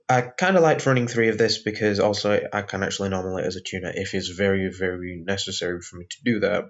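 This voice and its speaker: A young man with a sore throat, sounding groggy, rambling through a casual deck walkthrough with lots of ums and uhs. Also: lowish voice. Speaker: card game deck reviewer. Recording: dull lo-fi recording.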